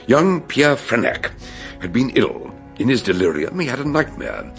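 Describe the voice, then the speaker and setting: An actor playing a shrewd, courteous older British detective in a radio drama, speaking in a ponderous, theatrical way. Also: male voice, mid-range voice, wildly swooping pitch, normal speed, creaky, a bit bright, faint music behind, heavily acted. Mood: grave warning. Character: shrewd, grave, genial older male detective. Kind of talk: radio drama acting and narration.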